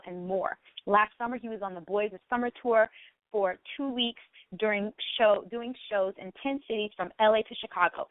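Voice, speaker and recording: A woman's voice: mid-range voice, dry recording. A woman hosting and interviewing on an online radio talk show. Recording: thin phone-like line, dry.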